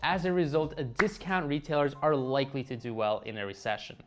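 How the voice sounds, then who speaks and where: A man's voice: mid-range voice, expressive, fairly quick. A young man whose first language is Russian, hosting an investing video with upbeat talk and joking asides to viewers. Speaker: investing channel host.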